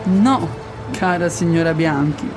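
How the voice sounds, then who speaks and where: A woman voicing a composed police commissioner in a short scripted radio drama, speaking in a measured, authoritative way. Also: fairly low pitch, over music, natural voice. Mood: firm.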